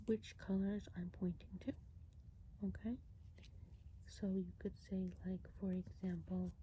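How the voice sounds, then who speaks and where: A woman whispering an ASMR roleplay as a kindly woodland witch, breathy and gentle, explaining things in an unhurried way. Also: medium pitch. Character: kindly woodland witch who sees herself as fairy godmother. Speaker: ASMR performer. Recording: dull, muffled audio with clear noise.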